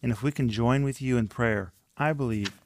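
A man's voice: mid-range voice, moderate pace, darkish tone, slight background noise. A man co-hosting a studio ministry show, enthusiastically presenting a book and study guides and inviting prayer requests. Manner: enthusiastic, promotes resources, urges prayer. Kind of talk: promotional and pastoral talk.

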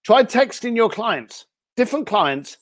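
Male podcast guest; blunt and direct, fairly high voice, dry close recording. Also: sing-song, measured pace, dry recording. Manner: blunt, punchy advice. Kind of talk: interview chat.

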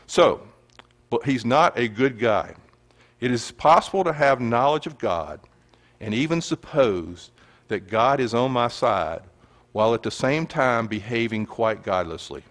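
A man's voice: mid-range voice, lively intonation, measured pace. A man gives an earnest sermon-style teaching and reads scripture aloud to a congregation. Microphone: handheld or stand mic.

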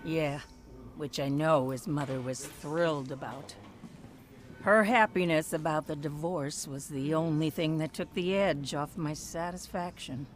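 An actress playing a bitter, stubborn wife in a radio drama, with a sarcastic and defiant manner. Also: female voice, sits low, measured pace, soft background music. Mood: rueful. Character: bitter, combative, stubborn wife and later divorcee. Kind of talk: radio drama acting with reflective narration.

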